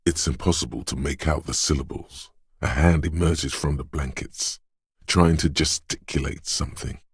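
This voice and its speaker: An actor voicing a brooding inner voice of the senses for a game, narrating slowly and quietly in the second person and dwelling on every sensory detail. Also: male voice, rumbling low, steady tone, slightly rough, no echo, studio quality, exaggerated voice. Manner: slow, hushed, lingering on sensory detail. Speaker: voice of a game's inner perception skill.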